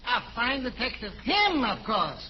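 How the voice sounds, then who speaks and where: Very high-pitched wisecracking sidekick. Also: male voice, sing-song, unhurried, full character voice. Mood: joking. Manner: joking, punning banter. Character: wisecracking, excitable male pal and sidekick. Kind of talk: 1940s radio comedy acting. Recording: noisy muffled old radio recording.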